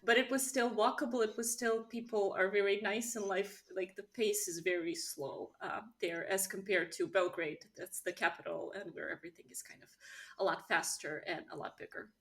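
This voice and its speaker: A woman speaks as a guest in an interview. Her voice sits at an average pitch. She talks candidly and informally, as if telling her story in conversation. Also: fairly even intonation, moderate pace, fairly bright tone. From Serbia. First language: Serbian. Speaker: interview guest, business co-founder.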